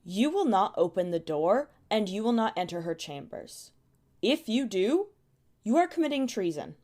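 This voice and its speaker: A woman playing a firm, businesslike royal household superior who gives orders deliberately in an audio drama. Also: mid-range voice, big pitch swings, darkish tone, close to an everyday voice. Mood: grave warning. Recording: music behind the voice.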